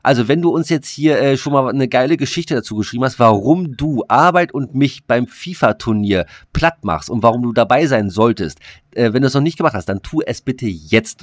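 A man's voice: medium pitch, no room sound, studio quality. A man co-hosting a podcast in casual banter, colloquial and teasing with a mock-serious streak. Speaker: podcast co-host.

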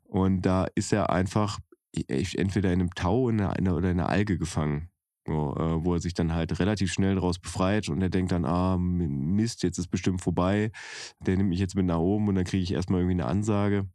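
Ein Mann, der locker und zögernd mit vielen Füllwörtern über ein Buch und ein Hörspiel plaudert. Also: male voice, low voice, steady tone, a little fast, dark tone, no echo, studio quality. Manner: hesitant, many fillers and restarts. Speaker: podcast co-host. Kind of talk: casual chat about a book and audio drama.

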